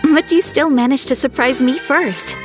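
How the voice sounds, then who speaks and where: Woman acting a high, gentle young noblewoman. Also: moderate pace, natural voice. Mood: warm, admiring. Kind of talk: video game voice acting. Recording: music behind the dialogue.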